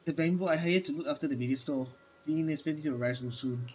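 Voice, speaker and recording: Higher-pitched man reading game text haltingly. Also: a little hiss or hum, poor audio. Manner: halting, stumbles over words when reading. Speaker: gaming streamer. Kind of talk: reading game dialogue aloud during a playthrough.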